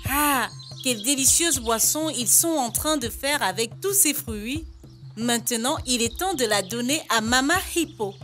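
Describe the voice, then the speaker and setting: A woman with a high voice narrates a learning cartoon for small children. She speaks in simple, expressive, teacher-like phrases. Clear background music plays under her. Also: a little slow. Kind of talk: scripted storytelling narration for preschoolers.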